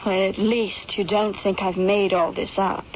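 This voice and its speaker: A woman's voice: mid-range voice, level tone, natural voice. Plaintive, haunted young daughter played by a woman. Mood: distressed. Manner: slow, plaintive, melodramatic. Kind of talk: old-time radio drama acting. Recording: dull old radio recording, faint noise.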